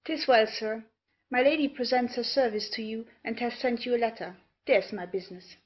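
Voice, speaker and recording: A slightly muffled audio play recording. A woman acts a tart old gentlewoman in service, her voice on the high side, prim and clipped in period comedy style. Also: normal speed, natural voice. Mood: curt. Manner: clipped, haughty. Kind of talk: theatrical period comedy acting.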